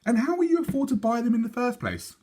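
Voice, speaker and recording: A man voicing a reasonable, practical father in a storybook, patient and gently questioning. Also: very high voice, expressive, fast talker, voice pushed up.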